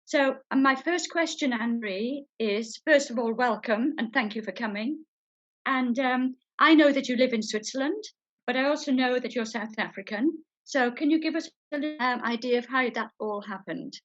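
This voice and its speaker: High-voiced woman hosting, dull sound. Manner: friendly, halting, clears throat. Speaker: interview host. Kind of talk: hosting a church breakfast interview. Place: church hall.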